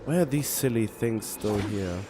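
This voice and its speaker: A man's voice: on the low side, moderate pace, music in the background. A man giving casual live gameplay commentary as a streamer, musing aloud in a puzzled way. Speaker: game streamer.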